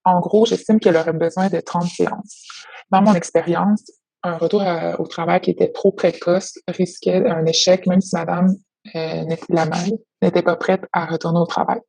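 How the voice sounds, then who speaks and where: A woman with a soft-edged, husky voice. She explains things in measured, formal phrases, like a clinician giving a case report. The sound is dry and close. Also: lowish voice, flat delivery, no echo, poor audio. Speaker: occupational therapist. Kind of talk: professional phone consultation, prepared report.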